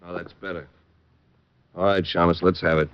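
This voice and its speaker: Forties radio crime heavy, acted by a man: terse, menacing, impatient, fairly deep, dull vintage recording. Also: little pitch movement, moderate pace, background noise, natural voice. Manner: terse, threatening, tough-talking. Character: menacing, impatient male gangster with a gun. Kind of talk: 1940s radio acting. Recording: muffled old radio recording.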